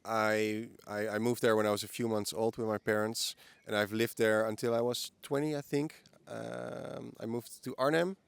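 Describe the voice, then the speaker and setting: A man's voice: on the low side, level tone, normal speed, vocal fry, few pauses, clear, resonant tone, no room sound. A man in his early forties with a Dutch accent, answering casually as a guest in an interview outdoors. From the Netherlands. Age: early 40s. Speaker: podcast guest. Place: outdoors in a park.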